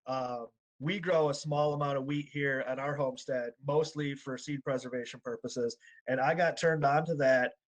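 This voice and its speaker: A man hosting an online panel, upbeat and quick when he gets going. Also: medium pitch, steady tone, rough recording. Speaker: panel host.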